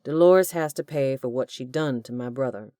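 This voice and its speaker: A woman voicing a cruel, volatile male villain who taunts slowly and then bursts into screams. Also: very deep voice, a little mellow, dry recording, studio quality. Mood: taunting. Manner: slow, taunting, erupting into screams.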